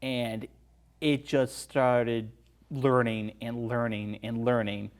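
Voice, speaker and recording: A man's voice: medium pitch, little pitch movement, slow pace. A man as a podcast guest, casually discussing a film and explaining things in a conversational way.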